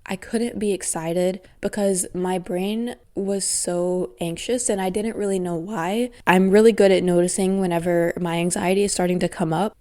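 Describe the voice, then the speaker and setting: A woman's voice: on the low side, darkish tone. A young woman hosting her podcast alone, talking in an earnest, grateful, reflective way while stuffy and congested from a cold. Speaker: podcast host. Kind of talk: casual solo podcast talk.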